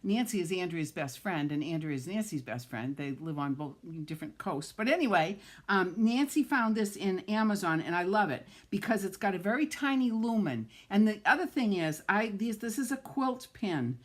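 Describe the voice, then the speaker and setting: A woman's voice: mid-range voice, expressive, moderate pace, hardly any pauses. A middle-aged woman with a New England accent, chatting and gushing as she demonstrates card making on a live stream and greets viewers by name.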